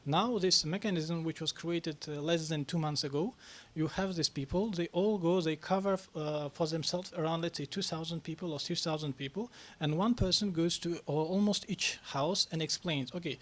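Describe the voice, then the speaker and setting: A man answering questions on a press club panel, talking haltingly with many fillers and restarts. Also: fairly high pitch. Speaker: panel speaker on national reforms.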